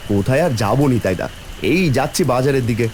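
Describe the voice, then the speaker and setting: A man's voice: medium pitch, fast, darkish tone, a little hiss or hum, close to an everyday voice. A man playing a friendly, plain-spoken village farmer in a cartoon, companionable in manner. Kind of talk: Bengali cartoon voice acting.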